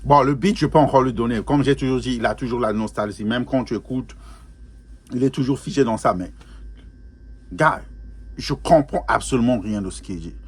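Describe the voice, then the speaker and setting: A man's voice: mid-range voice, moderate pace, breathy edge. Chatty man reacting to music. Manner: chatty, repeats phrases, drops English words into French; laughs often while talking. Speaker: music reaction video host. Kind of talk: casual spoken reaction commentary.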